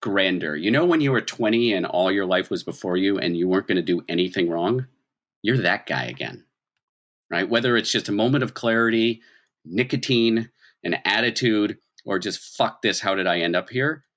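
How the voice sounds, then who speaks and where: A man's voice: on the low side, expressive, moderate pace, a bit bright. A man working as game master, improvising narration in a conversational, dramatic storytelling manner.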